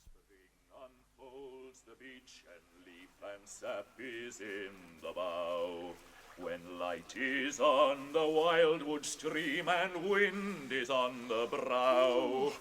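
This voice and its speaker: An actor playing an ancient, kindly tree-giant in a British radio drama, ponderous and musing, with old-fashioned phrasing and a temper that can be stirred. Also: male voice, mid-range voice, lively intonation, drawn-out words, a bit bright, slight background noise. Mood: solemn chanting.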